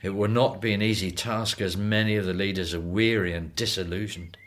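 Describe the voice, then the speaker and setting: A man's voice: sits low, fairly even intonation, a little fast, fairly bright tone. An actor playing a grave, devout elderly father in a radio drama. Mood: solemn, concerned.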